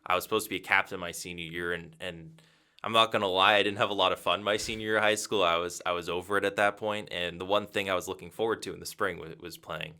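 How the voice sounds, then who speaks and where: Young male sports broadcaster from Massachusetts, very low, bright and crisp voice, measured answers. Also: steady tone. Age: 20s. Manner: measured and thoughtful, frequent "um" and "you know". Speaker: radio play-by-play broadcaster. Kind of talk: interview answers.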